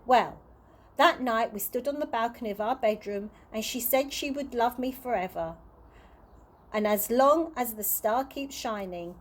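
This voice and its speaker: A woman voicing a kindly, wistful old male tramp from a children's storybook, earnest and gently encouraging. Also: on the high side, unhurried. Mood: wistful, tender.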